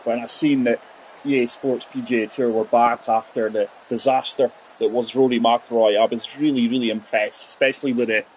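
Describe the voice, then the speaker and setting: A man's voice: mid-range voice, steady tone, normal speed, husky, poor audio. A man in his forties giving chatty live commentary on a golf game, talking straight to his viewers. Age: 40s. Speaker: game streamer.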